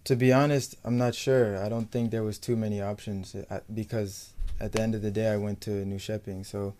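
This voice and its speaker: Low, mellow young American man, even delivery. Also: brisk, a little hiss or hum. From United States. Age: young adult. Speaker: professional footballer being interviewed. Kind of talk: casual sports interview.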